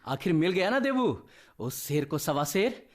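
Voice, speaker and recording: An actor playing a mischievous, scornful village doctor in a radio play, husky and teasing. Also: male voice, a little high, very animated, measured pace. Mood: sardonic. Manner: teasing, sardonic. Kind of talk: radio drama acting.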